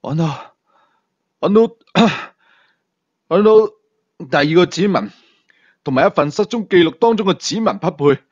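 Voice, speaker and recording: A man's voice: high-pitched, animated, unhurried, pauses often, no echo, highs cut off. A man with a Hong Kong Cantonese accent voicing a flustered, breathless junior police officer who stammers urgently in an audio thriller. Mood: panicked, urgent.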